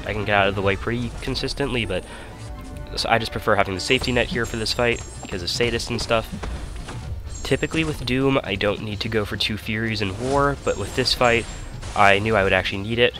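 A man's voice: on the low side, a little fast. Stuffy-nosed man narrating mobile game fights. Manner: relaxed, rambling, self-correcting. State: stuffy nose. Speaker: gaming channel host. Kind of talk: casual gameplay commentary. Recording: voice-over with loud game music behind.

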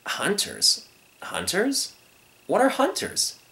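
Casting: man to play a naive young lion for a storybook, friendly and questioning, voice lifted high. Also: wildly swooping pitch, slow, bright, forceful tone, exaggerated voice. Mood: puzzled, curious. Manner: innocent, earnest, questioning.

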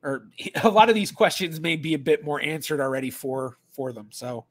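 A man's voice: on the high side, animated, moderate pace, no echo. A man in his thirties, a genealogist, chatting casually on a livestream from a small room at home, often thinking aloud with restarts and fillers. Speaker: genealogist streamer. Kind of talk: casual livestream chat reading questions.

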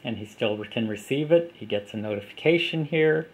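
Man, mid-pitched, casual app demo, steady and unhurried, camera mic indoors. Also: slight background noise. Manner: plain, unhurried walkthrough with fillers. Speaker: app developer demonstrating his app. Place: indoors at a table of phones.

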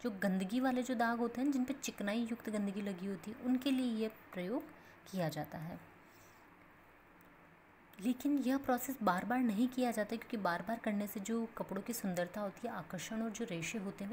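A woman teaching a home science lesson, explaining step by step in a steady, patient way while mixing in English terms. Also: on the high side, slight background noise. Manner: steady explanatory, repeats points in plain steps, mixes English terms. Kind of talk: explaining a textbook page as a lesson.

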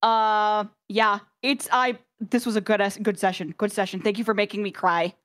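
A woman's voice: mid-range voice, fairly even intonation, normal speed, fairly bright tone. A woman chatting casually as a player and streamer on a tabletop game show.

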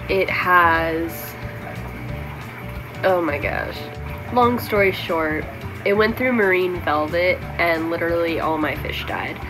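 A woman's voice: fairly low pitch, slow, low-quality recording. A teenage girl talks into her phone's front camera with a stuffy, congested voice from a cold, and she sounds a little tired. She chats eagerly, with plenty of ums, while music plays behind her. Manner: chatty, excitable, frequent ums. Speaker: aquarium vlogger. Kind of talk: casual vlog chat. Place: bedroom and home at night, indoors. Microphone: phone front camera at arm's length.

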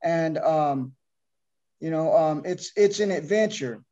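A middle-aged man with a notably high voice talks about his mission work, restarting his sentences often. The webcam audio is muffled and lo-fi. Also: slow pace, dark tone. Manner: devout, hesitant speech with many restarts. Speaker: missionary, orphanage founder. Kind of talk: interview on a video call. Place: home room, beside his wife. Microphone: video call webcam. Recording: muffled lo-fi video call audio.

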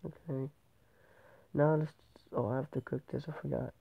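A man's voice: mid-range voice, steady tone, moderate pace, gravelly, husky. A male gamer with a stuffy nose from a cold, rambling through casual game commentary and often correcting himself. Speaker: gameplay channel host. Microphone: tablet microphone. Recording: tinny, phone-like tablet recording.

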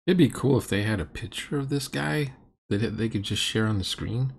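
A man's voice: medium pitch, fairly quick, creaky, husky. A middle-aged man giving blunt, mocking commentary as he reacts to a game, in a sarcastic manner. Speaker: host reacting to a recorded roleplaying game.

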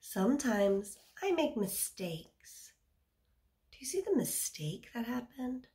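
A woman's voice: fairly high pitch, big pitch swings, slow, dark tone. A woman in her thirties reading a picture book aloud to young children as a teacher, explaining simply and putting questions to her listeners.

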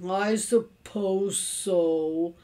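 A woman's voice: medium pitch, drawn-out words, warm tone. Woman putting on a grumpy, doleful storybook husband. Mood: mournful, dejected. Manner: growling, doleful. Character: grumpy, mournful middle-aged husband and father.

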